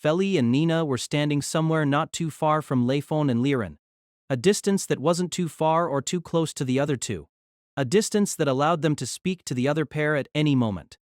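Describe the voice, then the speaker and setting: A woman's voice: low-pitched, brisk, a little mellow, no room sound, clean studio sound. A woman reading scripted narration of a novel as an audiobook. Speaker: narrator and reader.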